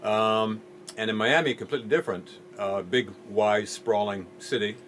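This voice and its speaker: Middle-aged English man raised in Jamaica, low voice, laid-back and halting interviewee, faint noise behind. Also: a bit bright. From England, raised in Jamaica. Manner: easygoing, hesitant with many ums. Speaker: music producer guest. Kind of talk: relaxed interview answers.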